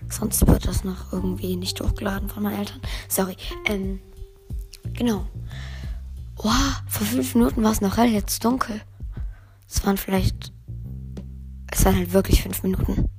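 Teen girl host with a hoarse, strained voice, chatty and meandering, faint music in the background. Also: mid-range voice, fairly even intonation, normal speed, pauses often, darkish tone. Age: teens. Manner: rambling, chatty, self-correcting. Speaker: podcast host. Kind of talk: casual solo podcast chat.

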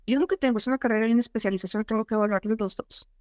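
A woman's voice: a little high, rapid-fire, a little mellow, dry recording, studio quality. A middle-aged woman, an immigration consultant co-hosting a talk show, explaining her points emphatically and often asking rhetorical questions. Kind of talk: advisory talk show chat.

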